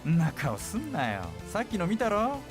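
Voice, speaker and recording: An actor playing a brash, cocky young brawler in a visual novel, with rough, slangy, taunting speech. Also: male voice, high-pitched, wildly swooping pitch, fast talker. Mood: cocky, flippant. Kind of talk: Japanese visual novel voice acting. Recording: music behind the voice.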